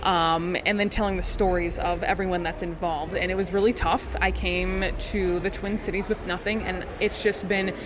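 A woman's voice: lowish voice, flat delivery, moderate pace, hardly any pauses, music in the background. A woman from the United States, a sports broadcaster being interviewed, telling her story in an earnest, articulate, conversational way.

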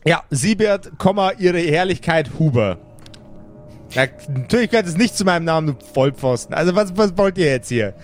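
A man's voice: fairly high pitch, animated, moderate pace, faint music behind, close to an everyday voice. A man playing a chatty, irritable necromancer in improvised tabletop role-play, rambling and repeating himself in crude slang. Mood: irritated, pompous. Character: chatty, brash, irritable necromancer running a business.

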